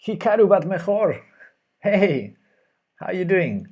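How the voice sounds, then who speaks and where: A man's voice: high-pitched, sing-song, slow pace, darkish tone, breathy edge. Spanish man muttering chess moves aloud. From Spain. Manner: thinking aloud, hesitant, muttering move names. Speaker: chess streamer. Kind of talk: live chess commentary while playing.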